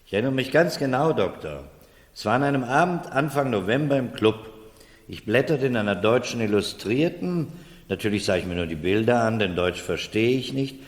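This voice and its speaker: An actor in a 1970s German radio play, playing a vain, self-mocking middle-aged gentleman who talks in a dry, insistent, aggrieved way. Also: medium pitch, lively intonation, fairly quick, lightly acted. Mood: reminiscing, boastful. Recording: reverberant room, vintage radio drama.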